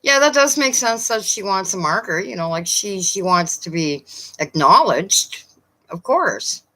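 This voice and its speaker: A woman with a Newfoundland accent chatting casually with viewers on a live stream, often pausing with fillers. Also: medium pitch, animated, bright, forceful tone, rough recording. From Newfoundland, Canada. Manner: chatty, hesitant, many fillers.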